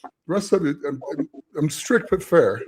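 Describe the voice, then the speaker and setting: A man's voice: fairly high pitch, lively intonation, moderate pace, darkish tone, husky. A middle-aged American man chatting casually as a host, plain-spoken and colloquial. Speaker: live-stream host, contest judge. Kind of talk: casual chat.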